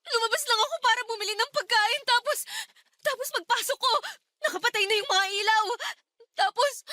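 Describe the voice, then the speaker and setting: Frightened young woman, very high bright voice. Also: brisk. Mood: panicked, tearful. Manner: anxious, tearful, hesitant. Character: frightened young woman being stalked in her apartment. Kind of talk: radio drama acting. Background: background music.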